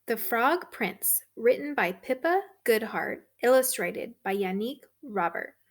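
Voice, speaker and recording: A woman reading a story aloud to children, expressive and deliberate. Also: fairly high pitch. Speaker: storybook reader for third graders.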